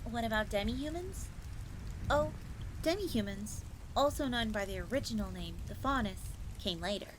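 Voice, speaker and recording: A woman's voice: on the high side, lively intonation, moderate pace, noisy background, close to an everyday voice. A woman voicing a young, polite and eager fox maid who tells old lore in a roleplay audio drama. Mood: earnest, explaining. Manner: refers to herself in third person, explanatory.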